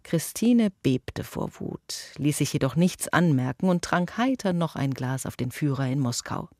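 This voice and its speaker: A speaker reading a passage from a novel in a slow, deliberate way. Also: medium pitch, lively intonation, darkish tone, no echo, clean studio sound.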